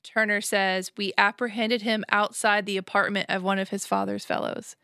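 A woman's voice: medium pitch, flat delivery, moderate pace, bright, forceful tone, clear, resonant tone, no echo. A middle-aged woman co-hosting a podcast, casually recapping a book's plot on a studio mic. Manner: recaps plot, acting out characters' lines in quotes. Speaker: podcast co-host. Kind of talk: casual book discussion. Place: small studio, seated. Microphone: studio mic on boom arm, headphones.

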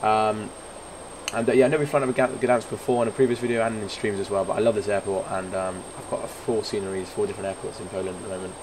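A man with a hoarse, croaky voice giving casual, rambling commentary on a flight simulator game, full of fillers and restarts. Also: fairly low pitch, fairly even intonation, fast talker, noisy background. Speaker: flight sim streamer.

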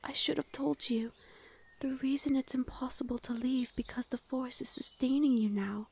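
Protective forest fae female performer, ASMR roleplay: highish, very breathy, soothing, often whispered. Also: fairly even intonation, moderate pace, a little mellow. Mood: soothing, apologetic.

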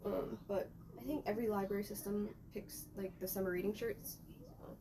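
Chatty young woman with a gravelly voice. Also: mid-range voice, fairly even intonation, a little fast. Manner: rambling, chatty, talking to herself while doing laundry. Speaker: vlogger. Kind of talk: casual vlog narration. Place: small bedroom, indoors. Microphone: camera mic at a distance. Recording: noisy room recording from a camera mic.

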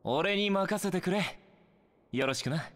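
A man's voice: high voice, animated, unhurried, fairly bright tone, big-room sound. A man voicing a self-assured young scout in a Japanese video game, briefing in a confident, informal way.

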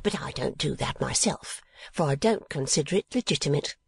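A woman voicing a shrewd, chatty older female miniature painter in a high, gossipy, exclamatory voice. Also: lively intonation, normal speed, vocal fry, breathy edge, dry recording, heavily acted.

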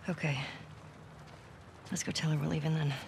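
A woman's voice: low-pitched, little pitch movement, moderate pace, creaky, background noise. An actress playing a stubborn, troubled young female survivor in a naturalistic video game scene. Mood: troubled, subdued. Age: young adult. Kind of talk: naturalistic game cutscene acting.

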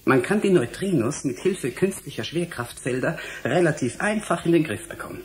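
A man's voice: fairly high pitch, animated, moderate pace, lightly acted. Guy acting as a young brilliant scientist in a radio play, snappy and lecturing, a bit of background noise. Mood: excited lecturing. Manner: impatient, lecturing. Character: irritable, self-absorbed male scientist, brilliant inventor. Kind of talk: German radio drama acting.

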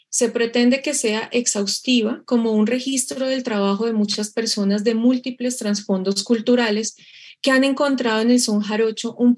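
A young woman reading a prepared, formal book review aloud over a video call. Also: on the high side. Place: room indoors. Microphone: video call webcam.

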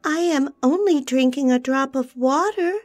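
A woman's voice: high voice, slow, darkish tone, faint music behind, pitched above the performer's own voice. A woman voicing a small, timid female wren who speaks meekly and pleadingly. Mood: frightened. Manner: meek and pleading.

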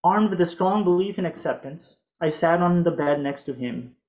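A man in his thirties whose first language is Urdu, reading a prepared talk in a measured, earnest way over a video call from a home office. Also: a little high, lively intonation, darkish tone, lo-fi sound. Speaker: physician presenter.

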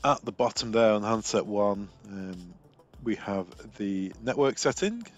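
A man's voice: fairly low pitch, a little slow, soft background music. A man working as a technical trainer, narrating a screen demo in an informal, step-by-step way.

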